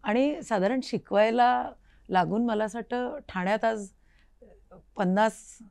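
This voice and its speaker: A woman's voice: on the high side, measured pace, creaky, a little mellow. A woman, hosting a casual interview in an attentive, agreeable manner. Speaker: interview host. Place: recording studio.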